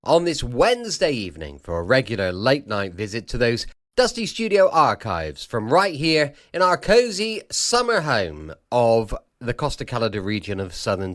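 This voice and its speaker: A man's voice: mid-range voice, fairly bright tone. A man introducing a late-night old-time radio podcast as its host, struggling a little with a sore throat.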